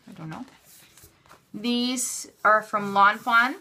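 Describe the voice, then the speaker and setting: A woman with a bright, forward voice at an average pitch. She talks casually, as in an unscripted show-and-tell. Her delivery is chatty and offhand, and she often breaks into her own sentences. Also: fairly even intonation, takes it slowly. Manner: chatty, self-interrupting, offhand. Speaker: craft haul vlogger. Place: indoors at a craft table.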